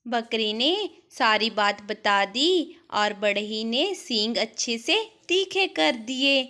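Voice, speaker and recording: A woman's voice: fairly high pitch, expressive, slow, bright, forceful tone. A woman narrating a scripted children's story as the storyteller.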